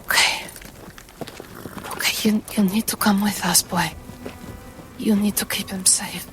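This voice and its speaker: A woman playing a solemn, gentle, protective adventurer who speaks in a measured, earnest way. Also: medium pitch, steady tone, whispered, music in the background, natural voice. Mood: gentle, worried.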